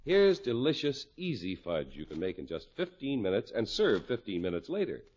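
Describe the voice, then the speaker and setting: A man's voice: mid-range voice, big pitch swings, normal speed, a little mellow. A man announcing in scripted 1950s radio style, with polished, emphatic salesmanship. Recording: dull 1950s radio recording.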